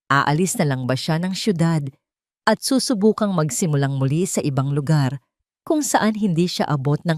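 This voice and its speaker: A woman's voice: fairly low pitch, darkish tone, no echo, studio quality. A woman with a Filipino accent reading a scripted, dramatic love story as narrator.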